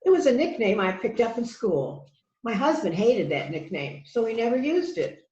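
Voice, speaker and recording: A woman playing an earnest wife under police questioning in an amateur mystery reading, with expressive delivery. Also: a little high, normal speed. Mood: pleading. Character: earnest wife pleading innocence to a detective. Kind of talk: amateur readers theater mystery. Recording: echoey, muffled online call.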